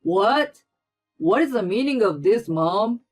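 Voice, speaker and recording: A woman voicing an angry adult husband who scolds his mother in a stern, loud way. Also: mid-range voice, slow, faint music behind, lightly acted.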